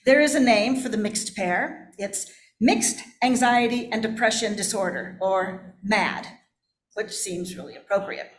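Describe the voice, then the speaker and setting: A woman's voice: mid-range voice, a little slow. A woman pastor giving a personal, confessional sermon in a candid, self-deprecating way. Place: church, indoors. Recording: echoey room.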